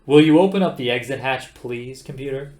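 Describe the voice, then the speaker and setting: A man voicing a brash, impatient male space captain with a loud, offhand manner. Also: mid-range voice, a little fast, close to an everyday voice. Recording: roomy echo.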